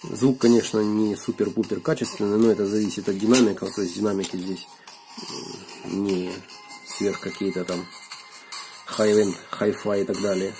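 A man with a hoarse voice from a cold, casually thinking aloud with fillers as he takes apart a gadget at his desk. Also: lowish voice, fairly even intonation, moderate pace, music in the background. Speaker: video blogger. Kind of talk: casual hands-on gadget teardown. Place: indoors at a wooden desk.

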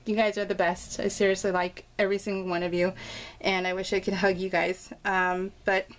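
A woman's voice: mid-range voice, little pitch movement, a little fast, low-quality recording. A woman chatting casually and informally as she narrates a craft video, telling stories in a rambling way. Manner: rambling, informal storytelling with exclamations. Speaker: craft vlogger showing a scrapbook layout. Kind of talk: casual, chatty real-time craft voice-over. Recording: clear background noise.

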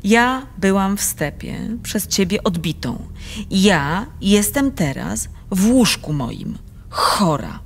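An actress playing a proud, bitterly defiant countess in a theatrical verse radio drama, commanding and imperious. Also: female voice, mid-range voice, expressive, slow pace.

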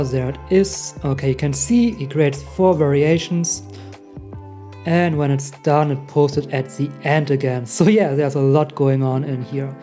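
A man in his thirties from Germany with a German accent, walking beginners through a software setup step by step in a friendly way. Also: on the high side. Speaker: tech tutorial host. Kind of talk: screen-recorded software tutorial.

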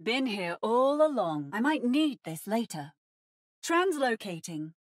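A woman's voice: a little high, very animated, unhurried. A woman voicing an adaptive female robot that mimics other heroes, with precise, theatrical video game delivery. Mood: poised.

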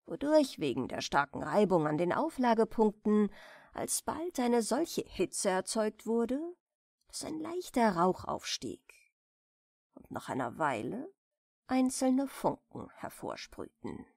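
A woman reading a bedtime story aloud in a soft, gentle, measured storytelling manner. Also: medium pitch, very animated, gravelly, no room sound, clean studio sound. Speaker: audiobook reader of bedtime stories.

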